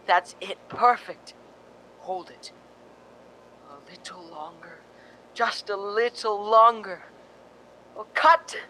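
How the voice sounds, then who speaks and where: A man's voice: high-pitched, slow, long pauses, sharp tone, a little hiss or hum, exaggerated voice, rough recording. An actor playing a fussy film director in a radio drama, coaxing his leading lady with theatrical short commands. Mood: businesslike.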